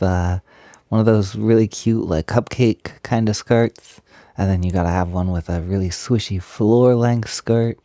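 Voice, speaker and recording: Soft, slow-talking man in playful intimate roleplay. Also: on the low side, dry recording, clean studio sound. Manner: playful, coaxing, hesitant and chatty. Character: playful, eager, cute-loving feminine male roommate. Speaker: audio roleplay performer. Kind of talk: intimate improvised-sounding audio roleplay, one-sided conversation.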